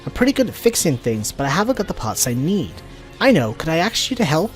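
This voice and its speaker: A man acts out a flustered villager from a video game, fretful and pleading. The voice swings around a lot and sits a little high, over background music. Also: very animated, fast. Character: flustered, embarrassed handy villager with a broken door.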